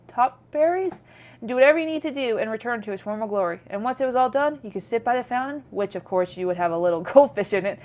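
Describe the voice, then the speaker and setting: A woman streamer reading game narration aloud with playful, chatty casual commentary. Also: on the high side.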